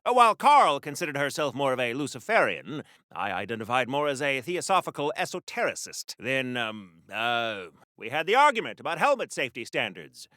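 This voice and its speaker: A man's voice: mid-range voice, very animated, fairly quick, pushed and bright, no echo, studio quality. A man playing a chatty, pompous wartime comic-book hero in a video game, with flowery diction in a theatrical 1940s radio serial style. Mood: rambling reminiscence.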